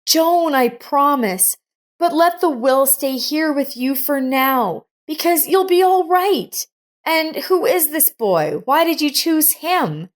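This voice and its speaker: Woman narrator playing determined young woman, caring, raised pitch, insistent, reassuring, storybook heroine. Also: animated, slow. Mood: anxious, questioning.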